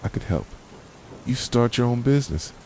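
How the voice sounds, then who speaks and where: A man playing a possessive, jealous boyfriend in an ASMR roleplay, speaking softly in an intense, accusing, dramatic way. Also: medium pitch, lively intonation, normal speed, close to an everyday voice, low-quality recording. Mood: pleading, bitter. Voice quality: soft voice. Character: possessive, jealous yandere boyfriend confronting his partner. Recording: rain and thunder noise behind. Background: rain and thunderstorm ambience.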